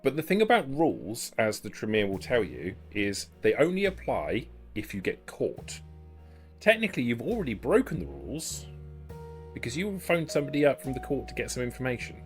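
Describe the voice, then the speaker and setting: A man's voice: mid-range voice, very animated, brisk, faint music behind. A man acting as game master, explaining rules and lore in a tabletop roleplaying game.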